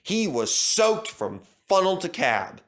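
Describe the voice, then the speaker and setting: Male storybook narrator, very lively pitch, slow deliberate pace. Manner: expressive, measured.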